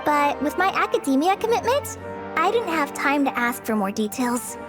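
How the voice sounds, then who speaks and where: Shy polite teen ranger girl, really high light voice, bouncy pitch, anime game dub. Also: very animated, normal speed. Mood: earnest. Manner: hesitant, self-effacing, polite. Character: modest, earnest teenage girl forest ranger. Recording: music playing behind the voice.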